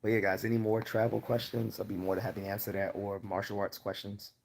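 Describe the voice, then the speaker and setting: A man hosting a casual livestream Q&A, chatting and rambling as he loses his voice and keeps clearing his throat. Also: lowish voice, level tone, fast, darkish tone, rough recording. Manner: rambling, chatty, laughs often. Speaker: livestream host.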